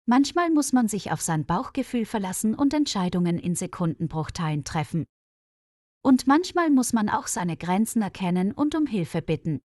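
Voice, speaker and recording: Woman voicing an earnest, calm male nurse. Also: medium pitch, moderate pace, no echo, studio quality, lightly acted. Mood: earnest, reflective. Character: dedicated, modest, experienced male nurse.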